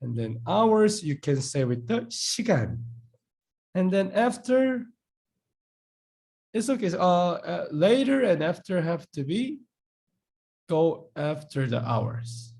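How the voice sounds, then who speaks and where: A man who speaks English, teaching in a live online class. He explains patiently, repeats words slowly and acts out short dialogues. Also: high-pitched, sing-song, vocal fry, a little mellow. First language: Korean. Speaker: Korean language teacher.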